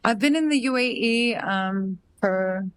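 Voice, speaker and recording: A woman's voice: a little high, unhurried, clean tone, no echo. A woman from Australia, a singer-songwriter and entrepreneur, talks as an interview guest in a thoughtful, rambling way full of fillers. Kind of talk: casual interview chat.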